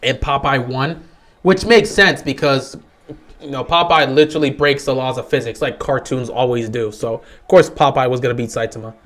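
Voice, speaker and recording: A young man with a sore, hoarse voice, giving casual live commentary on a football game he is streaming, resigned and frustrated. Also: medium pitch, moderate pace, a bit bright. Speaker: livestream host.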